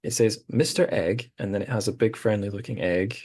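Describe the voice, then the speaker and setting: A man with a very low, deep voice hosts a relaxed podcast conversation and wanders through amused anecdotes. His voice is dry and close, with no room sound around it. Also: fairly quick, breathy edge, dry recording. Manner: rambling, amused storytelling. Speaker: podcast host. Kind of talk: casual chat.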